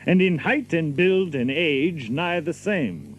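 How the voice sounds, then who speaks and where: A young, jesting outlaw in a theatrical adventure drama. His fairly high voice moves freely up and down as he teases in archaic English with mock solemnity. Slight noise sits behind him. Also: animated, slow pace. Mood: teasing. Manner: teasing, mock-solemn, hearty.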